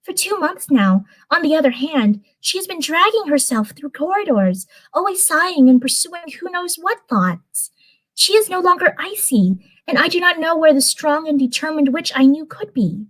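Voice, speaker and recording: A woman's voice: high-pitched, sing-song, moderate pace, voice pushed up, low-quality recording. A woman voicing an earnest, worried young fairy girl in a cartoon fan story, pleading and emphatic. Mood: concerned, insistent.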